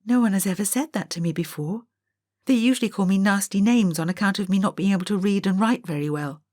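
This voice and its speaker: A woman's voice: medium pitch, quick pace, a little mellow, no room sound, studio quality, close to an everyday voice. A woman voicing a shy, lonely young evacuee girl in an audiobook, with a wistful, childlike way of talking.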